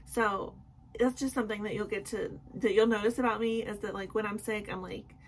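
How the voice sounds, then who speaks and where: A woman's voice: mid-range voice, steady tone, a little fast, slight background noise, poor audio. A young woman with a sick, sore throat chatting casually in a nightly vlog from home, apologetic and full of ums and likes. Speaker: vlogger.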